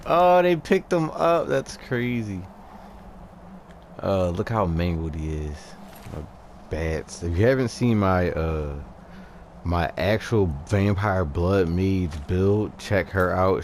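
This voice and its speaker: A man giving casual, halting gameplay commentary, thinking aloud as he plays. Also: low voice, darkish tone. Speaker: gaming video host. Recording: clear background game noise. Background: video game ambience.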